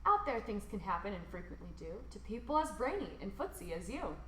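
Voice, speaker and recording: A woman reads a rhyming children's picture book aloud expressively, with theatrical emphasis and drawn-out words. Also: mid-range voice, big pitch swings, a bit bright, echoey room, a little hiss or hum, low-quality recording. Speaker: storybook reader.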